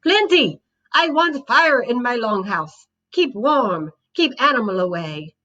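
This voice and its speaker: A woman's voice: a little high, expressive, slow, sharp tone, voice pushed up, heavily acted. A woman voicing a proud little toy warrior come to life, speaking in clipped, blunt phrases, on a slightly dull recording. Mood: demanding.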